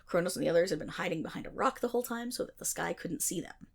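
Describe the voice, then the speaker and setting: A woman's voice: lowish voice, fast talker, gravelly, husky. A woman playing a chatty, irreverent nerdy best friend, rambling wryly and sarcastically in a casual ASMR storytelling roleplay. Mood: animated storytelling. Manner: rambling, wry, sarcastic, theatrical asides.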